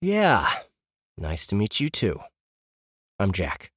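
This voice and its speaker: A sarcastic young man, voiced by the male narrator, close to the narrator's own voice. His pitch moves a lot and his lines have a passive-aggressive edge. It is dry and close-miked. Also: slow, breathy edge, no echo, exaggerated voice. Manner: sarcastic, lively. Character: sarcastic young man pulled into a game world.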